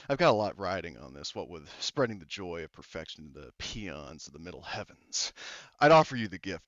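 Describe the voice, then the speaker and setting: A man voicing a smug, cruel psychic villain who speaks in a slow, low, gloating drawl. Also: a bit bright, no room sound. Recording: dull dry lo-fi mic.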